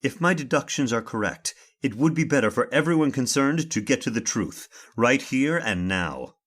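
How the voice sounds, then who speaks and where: A man's voice: medium pitch, animated, moderate pace, fairly bright tone. Determined, inquisitive investigator, male actor: authoritative, formal, very varied intonation, radio thriller. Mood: stern. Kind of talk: old-time radio drama acting.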